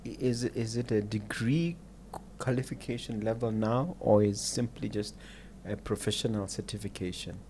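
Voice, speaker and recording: A man hosting a podcast, asking questions in a halting way with restarts and murmuring agreement while his guest talks. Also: lowish voice, warm tone, a little hiss or hum. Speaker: podcast host interviewer. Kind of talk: casual interview.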